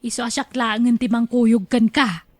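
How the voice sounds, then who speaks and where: A woman's voice: fairly high pitch, steady tone, normal speed, close to an everyday voice. A woman playing a breezy, persuasive friend in a radio drama, chatting in a reassuring way. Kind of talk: Ilocano radio drama acting.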